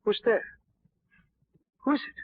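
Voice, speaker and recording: An actor playing a desperate, guilt-haunted murderer posing as a gardener, raspy and nervous, in 1940s radio mystery style. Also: male voice, measured pace, no room sound, natural voice. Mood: jittery. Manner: nervous, overwrought, melodramatic. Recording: dull vintage 1940s radio recording.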